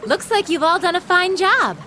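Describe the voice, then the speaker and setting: High-voiced, breezy, teasing instructor. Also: female voice, animated, moderate pace, sharp tone, a little hiss or hum, heavily acted. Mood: wry. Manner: casual, playful, wry. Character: breezy, teasing young female military academy instructor. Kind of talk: video game voice acting.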